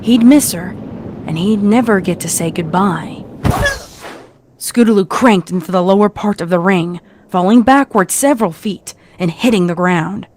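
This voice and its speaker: A woman's voice: medium pitch, normal speed, darkish tone, slight background noise. A woman reading scripted fiction as a narrator, in a dramatic storytelling style.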